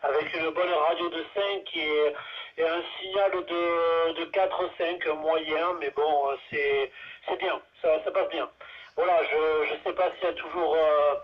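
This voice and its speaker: A man's voice: on the high side, steady tone, measured pace. A man talking casually as a radio operator, hesitant and repeating words, heard through a two-way radio transmission. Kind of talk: casual radio signal report. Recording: dull, lo-fi two-way radio audio.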